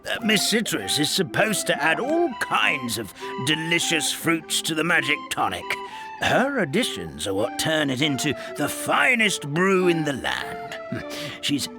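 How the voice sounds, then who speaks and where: A man's voice: fairly high pitch, huge pitch swings, measured pace, vocal fry, over music, exaggerated voice. A man voicing a fretful, courteous old grandfatherly fantasy game creature with a theatrical, effusive manner. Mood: relieved, effusive. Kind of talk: fantasy game character acting.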